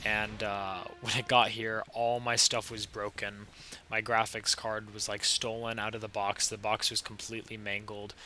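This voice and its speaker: Sick young man, raspy, bright tone. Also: on the low side, little pitch movement, moderate pace, slight background noise. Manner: casual, laid-back, chatty with frequent fillers and short laughs. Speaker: gaming channel host. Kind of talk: casual gameplay commentary.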